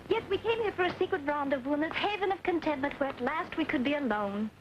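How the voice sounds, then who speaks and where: An actress playing a loyal, spirited young colonel's daughter, earnest and proper, in old western film style. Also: female voice, sits high, expressive, fast, a little hiss or hum. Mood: evasive, dramatic. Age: young adult. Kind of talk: 1930s western film acting. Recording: dull old film soundtrack.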